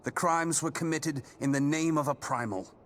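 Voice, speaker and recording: A man's voice: on the high side, moderate pace, fairly bright tone, a little hiss or hum, lightly acted. An actor playing a suave, courtly male adventurer in a video game, eloquent and gallant. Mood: serious briefing. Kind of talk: video game cutscene acting.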